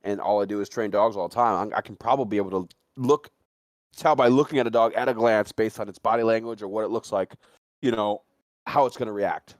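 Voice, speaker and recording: Casting: man with a low, dry-recorded voice, loose conversational rambler, circles back on his own phrases. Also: brisk, no echo. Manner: rambling, repeats himself, casual swearing. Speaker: livestream talk participant. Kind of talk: casual chat, animated storytelling and speculation.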